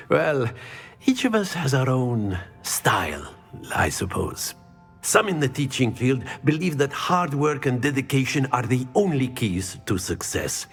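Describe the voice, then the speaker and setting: An actor playing a cheerful, kindly middle-aged professor at a magic school in a video game, with a theatrical, musing way of talking. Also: male voice, mid-range voice, big pitch swings, takes it slowly, fairly bright tone, slightly rough, soft background music, full character voice. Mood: cheerful, encouraging. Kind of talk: video game dialogue acting.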